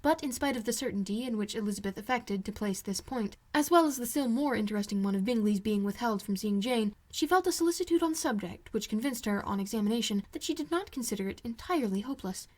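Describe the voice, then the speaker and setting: High-voiced woman narrating a novel. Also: animated, quick pace, hardly any pauses, a little mellow. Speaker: amateur audiobook reader. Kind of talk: scripted literary narration.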